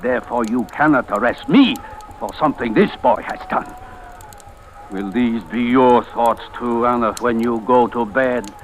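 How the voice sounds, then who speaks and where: An actor playing a proud, bitter, desperate older husband in a theatrical old radio drama, imperious and sarcastic. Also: male voice, mid-range voice, measured pace, close to an everyday voice. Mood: anguished dread. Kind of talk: 1940s-50s radio drama acting. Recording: noisy vintage radio recording.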